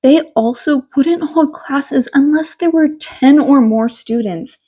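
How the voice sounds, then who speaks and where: A woman's voice: high voice, slow, mellow. A woman reading a scripted story aloud as an amateur audiobook narrator.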